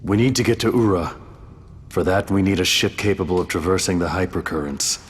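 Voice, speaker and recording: A man's voice: a little fast, breathy edge. An actor playing a stoic immortal man in a game cutscene, blunt, guarded and serious in his delivery. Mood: firm, serious. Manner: terse, serious. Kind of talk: dramatic game cutscene acting. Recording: long hall reverb, low rumble.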